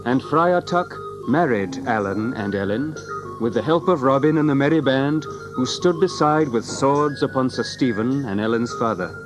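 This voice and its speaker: A man with a mid-pitched voice narrates in scripted storybook style. His phrasing is old-fashioned and literary, and music plays clearly behind him. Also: moderate pace, rough recording. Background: music under the narration.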